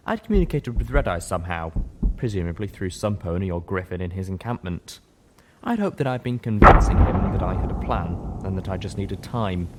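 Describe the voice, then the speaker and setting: A man's voice: expressive, brisk. A man reading a dramatic piece of fiction as an audiobook narrator, with noise behind his voice. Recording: noticeable background noise with low rumble.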